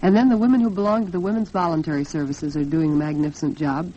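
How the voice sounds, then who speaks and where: A woman's voice: low voice, steady tone, quick pace, mellow. American lady on a 1940s radio show, earnest and polished, old muffled recording. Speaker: radio program host. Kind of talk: 1940s radio women's talk program, commentary and sponsor read.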